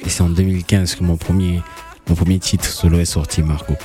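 A singer, talking about his career in a relaxed, hesitant interview. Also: deep voice, a little fast, soft background music. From Cameroon. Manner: relaxed, hesitant with fillers and restarts. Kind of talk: casual interview chat.

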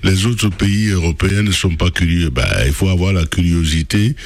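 Low, dry-sounding man explaining calmly. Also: no echo. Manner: measured, explaining. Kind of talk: radio discussion.

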